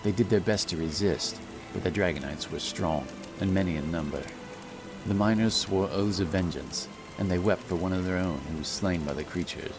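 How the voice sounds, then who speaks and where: A man narrating a fantasy audiobook in a solemn, measured storytelling style. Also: low voice. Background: music and rain sound effects.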